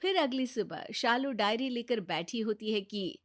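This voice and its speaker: A woman's voice: fairly high pitch, animated, unhurried. A woman narrating a scripted children's story as its storyteller.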